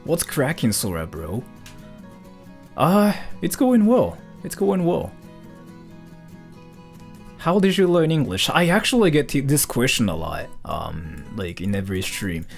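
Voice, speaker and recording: A male streamer from Japan chats his way through viewer questions, loose and rambling, with plenty of filler. His pitch sits in the middle but swings widely as he talks. Soft guitar music plays quietly underneath. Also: big pitch swings, measured pace, creaky, long pauses. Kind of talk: casual livestream chat, reading viewer questions.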